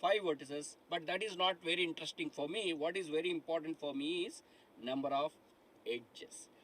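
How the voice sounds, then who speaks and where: Bright-voiced math teacher. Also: male voice, on the high side, moderate pace, slight background noise, rough recording. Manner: repeats phrases, asks the class questions, calls students "my dear friends". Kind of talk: live online lecture. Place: studio in front of a dark digital board.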